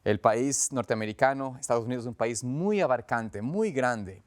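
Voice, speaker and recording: A man's voice: mid-range voice, expressive, normal speed. A man with an Ecuadorian accent preaching as a pastor in a conversational, earnest, explanatory way, often rephrasing as he goes. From Quito, Ecuador. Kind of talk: conversational sermon.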